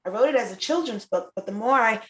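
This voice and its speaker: A woman's voice: fairly high pitch, expressive, fast, a bit bright. A middle-aged woman hosting a casual conversational interview, effusive and repeating phrases for emphasis. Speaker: show host and children's book author.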